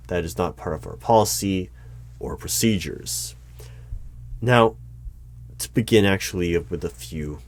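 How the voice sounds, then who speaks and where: A man performing a one-sided ASMR roleplay as a politely bureaucratic hiring manager, with a measured, halting, dry and formal delivery. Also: low voice. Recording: noticeable background noise with keyboard typing. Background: computer keyboard typing.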